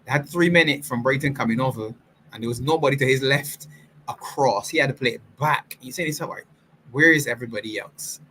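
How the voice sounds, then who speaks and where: A man hosting a casual football reaction chat, explaining tactics at length in an animated way. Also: medium pitch, fast talker, slight background noise, rough recording. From Barbados. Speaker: football fan show host.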